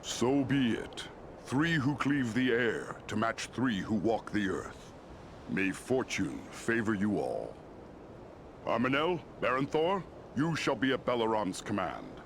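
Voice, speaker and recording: An actor playing a stately, wise lord of giant eagles in a fantasy game, speaking with regal formality. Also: male voice, mid-range voice, big pitch swings, slow, noisy background. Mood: solemn, regal. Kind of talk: fantasy game cutscene acting.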